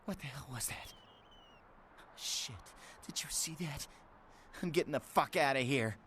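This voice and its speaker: A man's voice: medium pitch, expressive, measured pace, a bit bright. Jittery, paranoid man over background noise. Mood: frightened, paranoid. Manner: stammering, jittery, profane. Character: terrified, paranoid sleazy informant man in a horror game. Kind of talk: dramatic video game voice acting.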